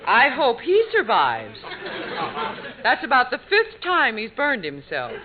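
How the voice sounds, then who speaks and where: Sitcom mother: patient, amused, high swooping voice, vintage broadcast recording. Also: huge pitch swings, unhurried, a crowd in the background. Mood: wry. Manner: indulgent, wry. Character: patient, wryly amused middle-aged wife and mother. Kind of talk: 1950s radio sitcom acting. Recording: tinny vintage radio with studio audience.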